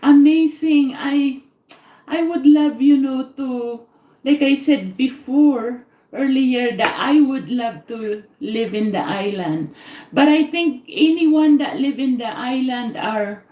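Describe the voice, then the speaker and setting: A woman's voice: sits high, takes it slowly, dark tone, echoey room. A middle-aged woman from the Philippines with a Filipino accent, chatting in a rambling, repetitive way on her cooking live stream, laughing often. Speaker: cooking vlogger. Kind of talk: live-stream casual chat.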